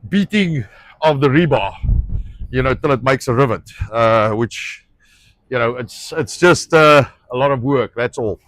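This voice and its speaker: A man's voice: medium pitch, a little slow, fairly bright tone, no echo. A man with an Afrikaans accent, Afrikaans his first language, hosting a casual outdoor talk about a ranch project, often hunting for words with fillers and restarts, swearing now and then. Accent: South African. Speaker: ranch owner and show host.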